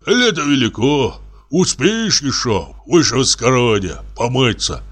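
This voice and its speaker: A man voicing a gruff, cheeky peasant carpenter with a folksy, grumbling manner in a story. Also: mid-range voice, very animated, a little slow, a bit bright, voice pushed up. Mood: haggling, grumbling.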